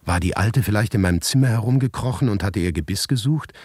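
A man playing an anxious, obsessive writer who narrates a macabre tale in a radio play, tense and deliberate, often dropping to a whisper. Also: on the low side, darkish tone, slightly rough, dry recording. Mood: brooding. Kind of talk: dramatic first-person literary narration in a radio play.

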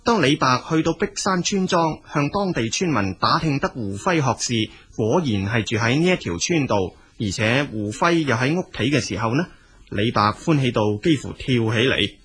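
A man's voice: mid-range voice, animated, normal speed, a bit bright, breathy edge. A man with a Cantonese accent narrating as a storyteller, in a colloquial spoken style.

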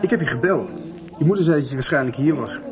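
Man playing young poet, chatty and curious, highish voice, very lively pitch, radio soap, music behind. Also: fast, lightly acted. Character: curious, talkative young man who writes poems. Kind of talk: naturalistic radio soap dialogue.

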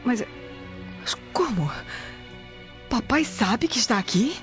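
A woman's voice: fairly high pitch, expressive, slow. A woman playing a virtuous, anxious Roman wife in a radio drama, polite but distressed. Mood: flustered, guarded. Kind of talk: Brazilian radio drama acting. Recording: muffled radio drama with music.

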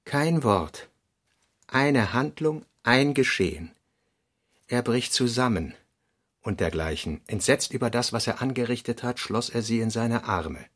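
Mid-pitched man reading literary fragments. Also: animated, unhurried, fairly bright tone. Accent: Standard German. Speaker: reader in a literary radio production.

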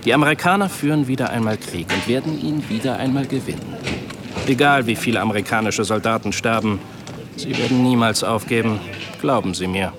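A man's voice: medium pitch, a little fast, over music, natural voice. A man acting a supremely self-assured, erudite Iroquois army sergeant in a German radio play, speaking formally, politely and eloquently. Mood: sardonic, resolute. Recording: clearly noisy outdoor ambience behind.

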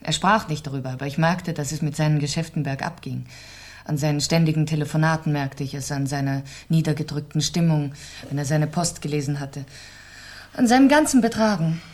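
A low-pitched, cool and composed society wife, newly widowed. She speaks deliberately and articulately, in the manner of a 1970s radio crime play. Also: darkish tone, slightly rough. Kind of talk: 1970s German radio crime drama acting.

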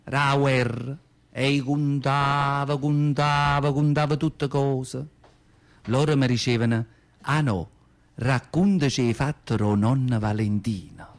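A man's voice: medium pitch, steady tone, takes it slowly. A man playing a nearly hundred-year-old poor, devout woman from southern Italy, telling her memories in a radio monologue with a Campanian accent and folksy dialect. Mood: tender remembering. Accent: Neapolitan-area southern Italian (Campania). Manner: folksy dialect storytelling, plaintive, repeats words for emphasis. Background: acoustic guitar music.

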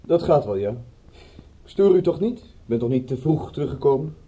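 A man's voice: medium pitch, sing-song, brisk, mellow, close to an everyday voice. A man acting in a radio play as a polite, obliging Frenchman, courteous and embarrassed as he apologises. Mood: apologetic, courteous.

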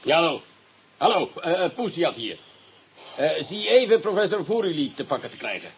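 An actor playing an impatient, sarcastic middle-aged police commissioner in a radio play. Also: male voice, fairly high pitch, sing-song, a little fast, a little hiss or hum. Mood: curt on phone. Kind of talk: radio drama acting.

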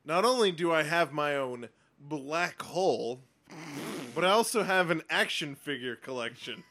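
A man improvising playful pitches in a party game on a podcast. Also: lively intonation, measured pace, sharp tone. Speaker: podcast co-host.

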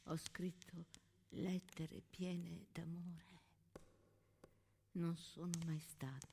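A woman's voice: sits low, gravelly, in a large hall, a little hiss or hum, heavily acted. A woman playing an impassioned, haunted young male soldier and poet in a literary stage monologue. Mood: haunted, hushed. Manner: intense, literary, swinging from outcry to hush.

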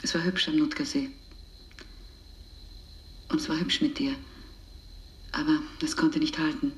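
A bitter, determined woman of the underground in a radio play. Her voice is low and breathy, often sinking to a half-whisper. She speaks slowly and deliberately, in an echoing room. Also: level tone, slight background noise. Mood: hushed, intimate. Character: resolute, bitter underground activist woman confessing on tape. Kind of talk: radio drama monologue.

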